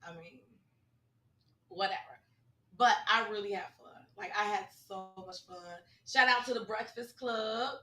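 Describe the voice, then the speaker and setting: A woman co-hosting a podcast in casual, slangy chat, her voice hoarse. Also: mid-range voice, measured pace, sharp tone. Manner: chatty, slangy, laughing. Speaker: podcast co-host. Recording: roomy, distant mic.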